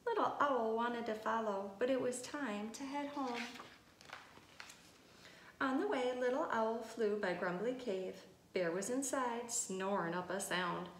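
A woman with a slightly high voice speaks as a preschool teacher doing a read-aloud. Her phrasing is simple and expressive, aimed at small children. The room is very echoey, with some faint noise. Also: unhurried. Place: indoor room with tiled wall. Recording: echoey room with noticeable hiss.

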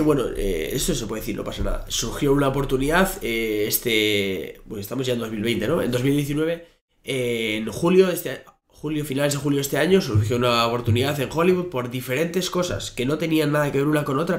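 A man's voice: medium pitch, fairly quick. A young man, a native Spanish speaker, talking as a podcast guest across a desk, telling stories with many fillers and restarts. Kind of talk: casual interview talk. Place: small office studio. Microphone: desk microphone. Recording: echoey room.

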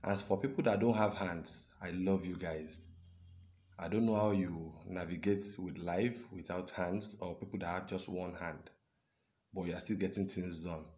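Deep-voiced Nigerian man vlogging. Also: steady tone, darkish tone. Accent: Nigerian (Yoruba). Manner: chatty, earnest, drawn-out words and frequent "okay?". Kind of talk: casual selfie vlog talk. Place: indoors, small room with curtains. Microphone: phone at arm's length.

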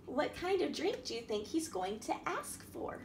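A woman with a very high, swooping voice reads a picture book to young children. She takes her time, in the sing-song manner of a library storytime host. The room around her is echoey. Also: very animated, moderate pace. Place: indoor room at a wooden table by a window. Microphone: camera across the table. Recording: echoey room with background noise.

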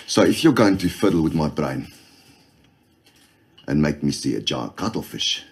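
A man's voice: rumbling low, big pitch swings, moderate pace, slightly rough, heavily acted. An actor playing a sardonic middle-aged male arms dealer with a drawling manner, on a film soundtrack.

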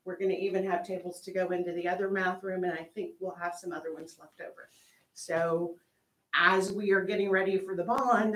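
A woman's voice: on the low side, a bit bright, echoey room, rough recording. A woman presenting informally at a school board meeting, telling a story with fillers and light laughs. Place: meeting room, large indoor.

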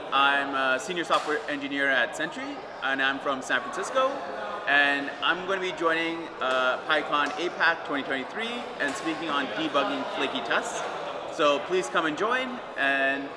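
A man's voice: on the high side, lively intonation, moderate pace. A man giving an informal invitation as a conference speaker. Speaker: software engineer, conference speaker. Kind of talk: informal promotional message to camera. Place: large bright atrium with people. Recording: loud ambient hall noise.

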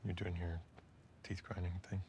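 An actor playing a guarded young man in a game cutscene, speaking in a hushed, understated way. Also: low voice, level tone, normal speed, a little hiss or hum. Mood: quiet, guarded. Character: quiet, guarded young man rousing a companion. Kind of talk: naturalistic game cutscene acting.

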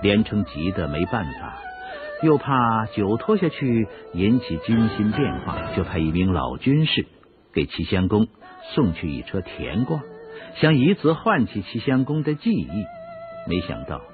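Deep, highly animated male storyteller. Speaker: narrator. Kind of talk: dramatic scripted storytelling narration. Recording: muffled radio recording with music. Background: clear background music.

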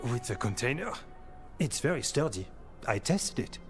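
An actor playing an earnest young male elf alchemist in a video game, speaking in a thoughtful, measured way. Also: medium pitch, sing-song, lightly acted. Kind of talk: video game dialogue acting. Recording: music behind the voice.

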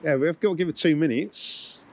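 A man's voice: a little high, moderate pace, a bit bright. A man in his forties hosting a prank show, bantering jokingly and then playing an officious repo agent. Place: inside a car and a showroom.

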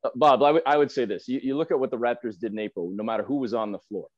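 A man's voice: medium pitch, racing. Man on dull video-call audio, talking sports. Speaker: sports broadcaster, podcast guest. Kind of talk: sports discussion, analysis. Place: indoor room at home, video call.

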